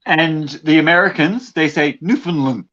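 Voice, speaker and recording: Slightly muffled, lo-fi sound carries a man with a fairly high voice. He chats casually, dropping short remarks into a group conversation. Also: normal speed, bright, forceful tone. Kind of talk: casual chat, short interjections.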